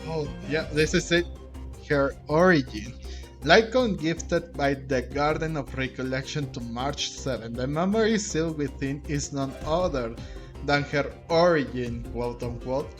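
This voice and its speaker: A man doing casual gameplay commentary, thinking aloud in a halting way and sometimes reading game text. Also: on the high side. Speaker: game streamer. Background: game music.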